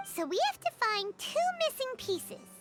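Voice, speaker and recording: A woman voicing a small, childlike, chirpy fairy companion in a video game, with a squeaky voice. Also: very animated, normal speed, full character voice. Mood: curious. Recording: loud background music.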